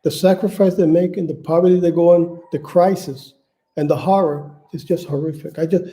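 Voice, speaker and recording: A man from New York with a high-pitched voice that still sounds very dark and mellow. He talks off the cuff to his viewers, stumbling and repeating words as he searches for his next phrase. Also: steady tone, brisk. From Manhattan, New York, United States. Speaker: channel host appealing for donations. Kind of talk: casual unscripted livestream talk.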